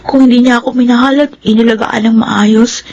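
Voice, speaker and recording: A woman's voice: a little high, fairly even intonation, a little fast, darkish tone, highs cut off, slight background noise, close to an everyday voice. Woman playing a grateful, wounded teenage girl. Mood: grateful, resigned. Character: grateful, hurt high-school girl graduate. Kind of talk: radio drama acting.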